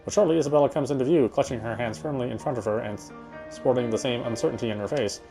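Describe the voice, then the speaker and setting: A man's voice: medium pitch, quick pace, gravelly. Man reading a story aloud over music. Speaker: video game player reading aloud. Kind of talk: first-person story narration read aloud.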